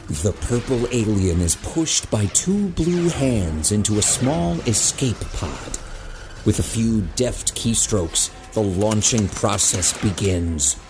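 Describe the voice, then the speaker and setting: A man narrating a scripted audio drama in a measured, dramatic way. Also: fairly low pitch, sing-song, noisy background.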